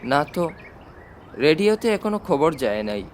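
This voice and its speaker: A polite, inquisitive young niece read by a man in a storybook. The pitch is high for a man and the manner earnest and caring, over faint background noise. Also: very animated, a little slow. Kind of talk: storybook reading.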